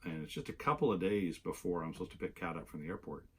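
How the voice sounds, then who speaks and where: A low, husky-voiced man talks casually to his viewers. He is still a little hoarse after losing his voice, and he rambles, with plenty of ums and self-corrections. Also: lively intonation, fast talker, a little mellow. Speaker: knitting channel host. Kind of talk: casual solo vlog.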